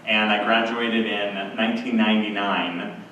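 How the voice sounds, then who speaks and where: A man's voice: lowish voice, level tone, measured pace, pushed and bright. A man in his forties, a school board member, speaking hesitantly with many fillers at a public meeting. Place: meeting room. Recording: echoey room, distant mic, faint hiss.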